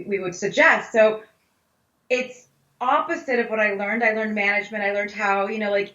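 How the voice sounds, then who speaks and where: A woman's voice: medium pitch, bright, forceful tone. A middle-aged woman answering interview questions conversationally as a dietitian guest, stressing points emphatically with repeated phrases. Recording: roomy, slightly dull sound.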